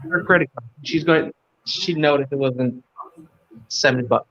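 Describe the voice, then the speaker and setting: A man's voice: fairly high pitch, normal speed, a bit bright. A man from Cuba hosting a casual livestream, rambling and joking, chuckling as he talks. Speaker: livestream host. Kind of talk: casual chat. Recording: dull lo-fi stream audio.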